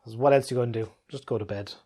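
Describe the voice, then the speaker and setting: A man's voice: mid-range voice, quick pace, dark tone, slightly rough. A man hosting a casual question-and-answer video about games, talking in an easygoing, conversational way. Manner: easygoing, conversational, chuckles often. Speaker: YouTube gaming video creator and host.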